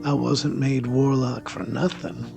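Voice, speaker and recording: A man's voice: medium pitch, flat delivery, a little slow, vocal fry, over music. A man acting as a sardonic warlock on the run, narrating an audio drama in a theatrical, literate, wry manner. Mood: tense, defiant.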